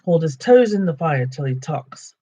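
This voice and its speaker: A man voicing a brutish, suspicious male troll who talks rough and threatening. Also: a little high, sing-song, moderate pace, dark tone. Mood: quarrelsome. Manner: rough slangy threatening talk.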